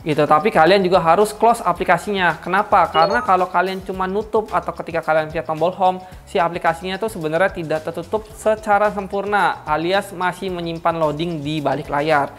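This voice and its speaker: A woman's voice: lowish voice, fairly quick, soft background music. A woman giving a chatty, slangy product review, talking straight to her viewers. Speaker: car audio reviewer.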